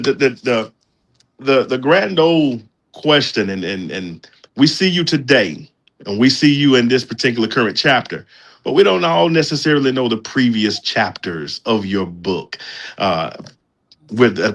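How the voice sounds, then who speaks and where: A man hosting an interview, enthusiastic and praising, often repeating words as he starts his sentences. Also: medium pitch. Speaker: podcast host, preacher.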